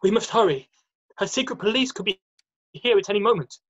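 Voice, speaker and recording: An amateur performer voicing a gentle, nervous male faun with a hesitant, apologetic manner in a screenplay readthrough. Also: medium pitch, fairly quick, highs cut off, natural voice. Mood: fearful, urgent. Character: nervous, guilt-ridden, gentle male faun, kindly host.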